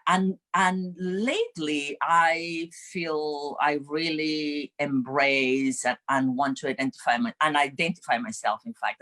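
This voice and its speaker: A woman from Peru with a Peruvian Spanish accent and Spanish as her first language. She speaks as a panelist on a video call, pausing often and choosing her words with care. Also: a bass voice. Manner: slow, pausing, reflective. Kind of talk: panel discussion.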